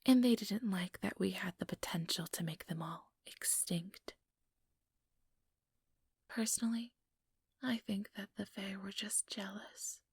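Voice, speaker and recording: An ambitious female vampire, acted by a woman, whispers intimately in an ASMR role-play. Her voice is extremely breathy and creaky, recorded dry and close. She speaks in a measured, condescending way, manipulative and quietly persuasive. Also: mid-range voice, no echo. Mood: measured storytelling. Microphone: close ASMR microphone.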